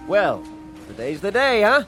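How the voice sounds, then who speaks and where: A smooth-talking, smug rival in a radio drama. He is animated and condescending in a jovial way, over faint music. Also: sits high, measured pace, exaggerated voice. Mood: jovial, mocking.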